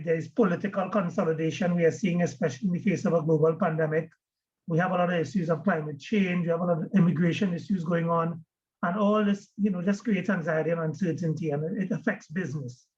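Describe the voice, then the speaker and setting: A man's voice: a little high, fairly even intonation, fast, darkish tone. A man from Trinidad and Tobago with a Trinidadian accent, giving a webinar presentation as guest speaker, often repeating words as he starts a phrase. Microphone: video call. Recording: dull lo-fi video call audio.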